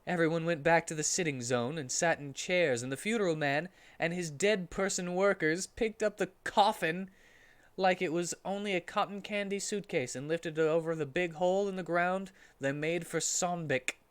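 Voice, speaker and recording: A man's voice: fairly high pitch, normal speed, smooth. A man reading a silly story aloud in a theatrical, mock-serious comic style.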